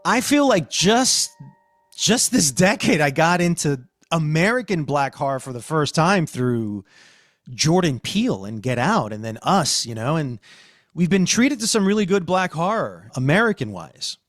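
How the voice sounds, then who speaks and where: A man hosting a podcast, discussing and reviewing in a conversational, emphatic way with deliberate phrasing. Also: on the high side, big pitch swings, a bit bright, dry recording, clean studio sound. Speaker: podcast host.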